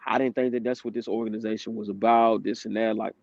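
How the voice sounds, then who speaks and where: A man's voice: lowish voice, flat delivery, quick pace, vocal fry, darkish tone, no echo. A man in his twenties giving candid, casual testimony as a guest, laughing between points. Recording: dry dull lo-fi sound.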